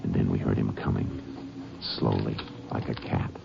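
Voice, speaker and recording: A man's voice: a bass voice, level tone, normal speed, vocal fry, exaggerated voice. An actor in a 1950s radio drama playing a laconic, dry Texas Ranger who narrates his own case. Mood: calm, tense. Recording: dull old radio broadcast with music.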